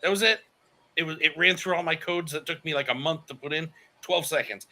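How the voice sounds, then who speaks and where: Bright-voiced man in his forties. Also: fairly high pitch, a little fast. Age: 40s. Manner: rambling, self-correcting, laughs often. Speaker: channel host. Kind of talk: casual livestream chat.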